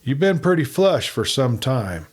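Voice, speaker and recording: A man reading aloud takes on a tough western lawman from a storybook. He is shrewd and unshaken, and gives orders bluntly in a mid-pitched voice. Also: very animated, a little slow, breathy edge. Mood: hard, steady. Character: shrewd, hard, steady male lawman in a western.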